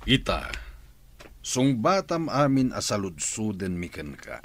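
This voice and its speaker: A man's voice: lowish voice, big pitch swings, unhurried, slight background noise. A man acting a stern, strict father in an Ilocano radio drama. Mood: stern, angry.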